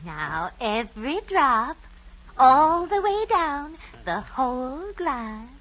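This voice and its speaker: A woman's voice: high voice, very animated, takes it slowly, fairly bright tone, full character voice. An actress playing a sweetly chatty fifty-year-old society lady poisoner in a theatrical 1950s radio drama. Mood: coaxing. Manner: theatrical, coaxing, melodramatic. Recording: dull vintage radio recording, faint noise.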